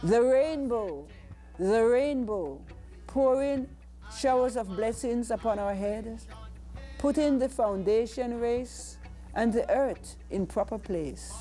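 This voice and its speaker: An older Jamaican woman reciting poetry in a solemn, measured, declamatory manner. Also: fairly high pitch, expressive, warm tone, faint music behind. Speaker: poet.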